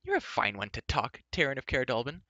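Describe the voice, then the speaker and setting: A man's voice: medium pitch, wildly swooping pitch, fairly quick, pushed and bright, no echo, lo-fi sound, voice pushed up, full character voice. A man doing a chattering young heroine. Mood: teasing. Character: lively, outspoken young woman.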